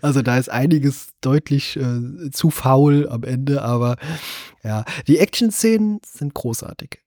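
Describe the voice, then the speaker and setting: A man's voice: mid-range voice, animated, unhurried, darkish tone, no room sound, studio quality. A man hosting a casual film discussion, searching a little for his phrasing. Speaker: podcast host.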